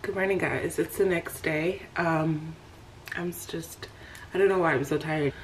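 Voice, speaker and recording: A woman's voice: low-pitched, fairly even intonation, a little slow, heavy background noise. A young woman, chatting casually to camera at home in a rambling vlog, with lots of fillers. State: slightly nasal, a bit congested. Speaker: vlogger. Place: living room and kitchen at home. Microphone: handheld camera at arm's length.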